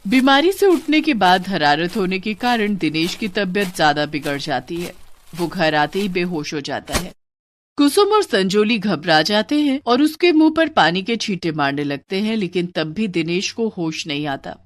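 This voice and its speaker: A woman's voice: on the low side, animated, moderate pace, slight background noise. Woman narrating a moral bedtime story.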